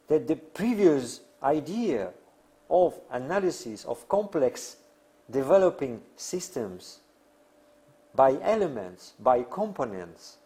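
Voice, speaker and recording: A man who is a non-native English speaker lecturing on theory in halting, deliberate phrases with emphatic pauses. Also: on the high side, darkish tone. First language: not English. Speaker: academic lecturer.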